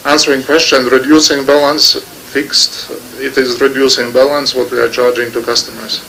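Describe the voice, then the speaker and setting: A man's voice: mid-range voice, flat delivery, normal speed, vocal fry, bright, forceful tone, a little hiss or hum, rough recording. A man, a company executive answering a committee's questions, explaining carefully and repeating words as he thinks. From Latvia. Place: parliament committee room.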